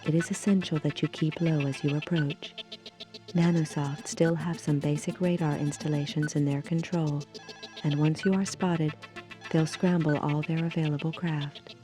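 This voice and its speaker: An actress playing a stern police commander, delivering a formal, authoritative scripted mission briefing in a video game. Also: female voice, low voice, fairly even intonation, normal speed, lightly acted. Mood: stern, businesslike. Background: music.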